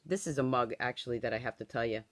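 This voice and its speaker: A woman in her sixties, slightly hoarse from a cold, leading a live craft tutorial in a chatty way and often checking in with "okay?". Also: rumbling low, fast talker, no echo. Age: 60s. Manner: chatty, tags instructions with "okay?". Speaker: stamping craft demonstrator.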